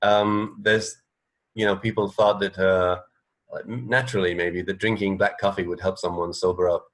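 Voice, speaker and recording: Hesitant, low-pitched man chatting casually. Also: little pitch movement, moderate pace, fairly bright tone. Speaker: radio show co-host. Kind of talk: casual chat. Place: indoor room. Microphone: video call.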